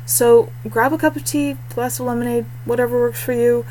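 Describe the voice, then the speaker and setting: A woman's voice: fairly high pitch, fairly even intonation, fairly quick, warm tone, a little hiss or hum, low-quality recording. A woman author introducing her reading channel in a casual, self-deprecating, chatty way. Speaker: indie fantasy author.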